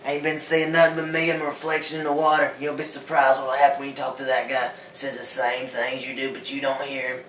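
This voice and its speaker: A man playing a castaway back from years alone, talking in a folksy way with rough grammar as a talk show guest. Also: mid-range voice, flat delivery, brisk, few pauses, a bit bright, natural voice. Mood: weary. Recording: echoey room, far from mic, faint hiss.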